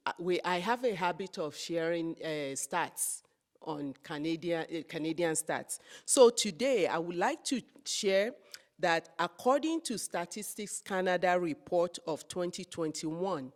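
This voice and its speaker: Slow, low-voiced woman host. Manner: slow, measured, with many fillers. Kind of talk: interview.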